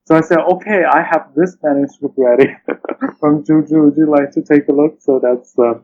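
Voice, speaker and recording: A man's voice: a little high, fairly even intonation, normal speed. Muffled, noisy recording of a hesitant man. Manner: hesitant, repeats words, searching phrasing. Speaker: interview guest, literary translator. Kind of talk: conversational interview answers. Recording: dull lo-fi sound, loud-ish background noise.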